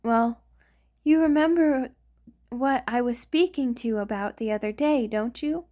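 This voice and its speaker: A woman's voice: fairly high pitch, a little slow, dark tone, lightly acted. A woman voicing a centuries-old vampire lover in an intimate ASMR roleplay, doting and anxious, with a hesitant, sighing delivery. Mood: guilty, fearful. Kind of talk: ASMR romantic roleplay monologue.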